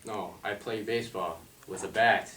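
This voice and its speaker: A man playing a deadpan, exasperated 1920s baseball star in a skit. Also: on the low side, moderate pace, natural voice. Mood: deadpan, annoyed. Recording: echoey room, distant mic.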